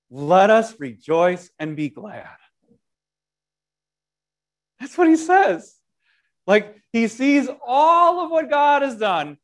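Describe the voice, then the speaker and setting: A man preaching an Easter sermon as pastor, animated, moving between loud proclamation and quiet, self-deprecating conversational asides. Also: high-pitched. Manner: animated preaching with wide swings in loudness.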